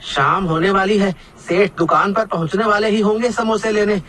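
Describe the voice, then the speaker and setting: Man playing a high, eager, humble samosa seller. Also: fairly even intonation, normal speed, bright, forceful tone. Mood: worried, pleading. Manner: polite, earnest, deferential. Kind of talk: Hindi cartoon story acting.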